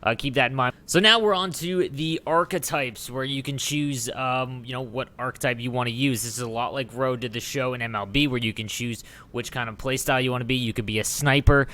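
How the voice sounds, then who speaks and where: A man's voice: mid-range voice, fairly quick, few pauses, pushed and bright. A man hosting a gaming video, giving casual, enthusiastic commentary in an informal way. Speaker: gaming channel host.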